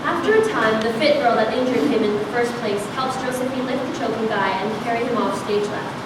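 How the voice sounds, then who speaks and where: A woman's voice: on the high side, brisk. A woman reading stage directions as scripted narration at a public script reading. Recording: very noisy, echoey room from far off.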